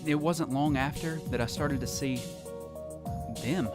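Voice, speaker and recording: A man's voice: a little high, a little slow, lightly acted. A man playing a lonely, haunted survivor, narrating his story in a somber, reflective way for an audio drama. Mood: somber, uneasy. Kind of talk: scripted first-person audio drama narration. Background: music.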